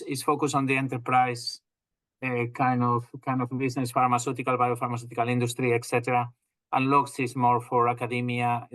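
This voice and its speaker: A man from northwest Spain with a Spanish accent, a company president explaining his business in a measured way, with frequent fillers and restarts. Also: medium pitch, fairly bright tone, no echo. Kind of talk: business interview over video call. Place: home or office in England.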